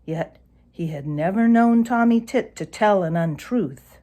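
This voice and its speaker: Slow-paced woman reading a children's story. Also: mid-range voice, expressive, warm tone. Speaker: storybook narrator. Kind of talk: expressive children's read-aloud narration.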